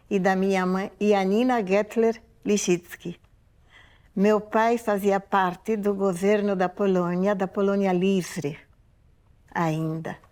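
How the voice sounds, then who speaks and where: A woman's voice: mid-range voice, darkish tone. A woman in her eighties from Poland, giving a reflective, unscripted spoken testimony and often checking in with tag questions. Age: 80s. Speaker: museum oral-history interviewee.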